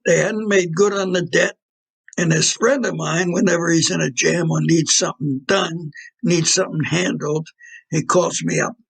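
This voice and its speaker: A man acting in an audio play as an irritable, menacing mob fixer boyfriend, with blunt, slangy talk and a gravelly, husky voice. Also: on the high side, fairly even intonation, moderate pace, fairly bright tone, full character voice. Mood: matter-of-fact, menacing.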